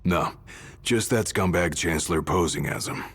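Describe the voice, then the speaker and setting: Very deep, blunt bodyguard. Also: male voice, normal speed, a bit bright, slightly rough, heavily acted. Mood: determined. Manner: terse, blunt, casual. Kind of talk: video game cutscene acting. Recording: music over the voice.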